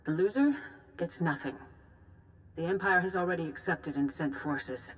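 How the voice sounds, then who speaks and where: Woman playing a low-voiced, solemn Jedi master. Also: natural voice. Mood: grave, urgent. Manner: formal, measured, solemn. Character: grave, composed female Jedi master giving a war briefing. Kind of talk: scripted video game dialogue.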